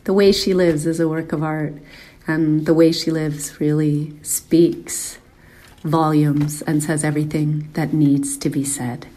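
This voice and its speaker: A woman's voice: sits low, flat delivery, unhurried, warm tone, slight background noise. A woman speaking reflectively, as if paying tribute.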